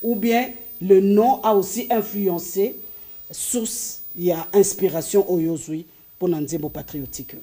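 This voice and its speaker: A Congolese man with a Congolese French accent, hosting an interview and asking slow, probing questions. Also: high voice, fairly even intonation, dark tone. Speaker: host.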